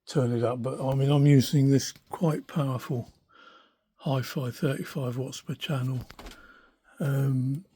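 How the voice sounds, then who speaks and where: A man's voice: mid-range voice, fairly even intonation, a little slow, mellow, slight background noise. An older man giving an informal, unscripted demonstration as a hobbyist, in a hesitant, thoughtful manner. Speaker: hobbyist radio restorer. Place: small cluttered room indoors. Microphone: handheld phone behind the camera.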